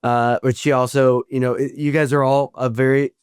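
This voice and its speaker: A man hosting a podcast interview, asking questions with halting restarts. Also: medium pitch, little pitch movement, clear, resonant tone, no room sound, studio quality. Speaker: podcast host.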